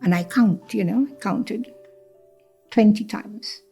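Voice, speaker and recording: Older Trinidad-born woman, gravelly and warm. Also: medium pitch, slow pace, faint music behind. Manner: reflective, repeats phrases, acts out quoted speech. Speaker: cancer survivor telling her story. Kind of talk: personal storytelling interview.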